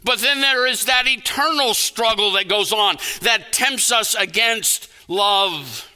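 A man preaching a sermon in an emphatic, deliberate manner, building on repeated phrases and rhetorical questions. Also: high voice, sharp tone, clean tone.

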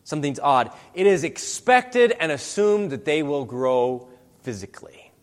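A man's voice: a little high, very animated, a little slow. A man giving a conversational sermon. He asks his listeners many rhetorical questions. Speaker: preacher. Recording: long hall reverb.

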